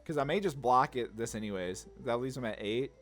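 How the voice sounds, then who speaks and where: A man's voice: mid-range voice, expressive, a little fast, fairly bright tone. A man giving casual gameplay commentary, thinking aloud in a laid-back, slangy way. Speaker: card game video host. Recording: music under the voice. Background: music.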